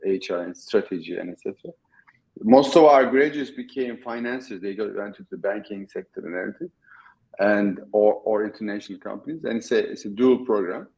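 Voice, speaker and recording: A middle-aged man with a Turkish accent, a guest in an interview, talking in a halting way with many restarts. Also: lowish voice, gravelly, darkish tone, muffled recording. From Turkey. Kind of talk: casual interview talk.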